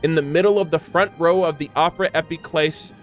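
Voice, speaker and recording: A man with a fairly high voice talks as an online reaction creator over background music. He moves between reading lore aloud and loose, rambling commentary, given to hyperbole and casual swearing. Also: steady tone, fairly quick.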